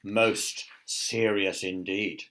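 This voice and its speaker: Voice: low-pitched, steady tone, drawn-out words, fairly bright tone, pitched below the performer's own voice, exaggerated voice. A storyteller voicing a stern, gloomy castle guard in a children's story, with solemn pronouncements.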